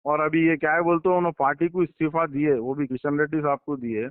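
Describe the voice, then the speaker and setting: A man's voice: on the high side, fairly even intonation, quick pace, clear, resonant tone, no room sound. Insistent Hyderabadi man on a tinny phone line. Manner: insistent, repeats himself and presses points with questions. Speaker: political insider giving his account to a journalist. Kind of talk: informal phone conversation. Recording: tinny recorded phone call.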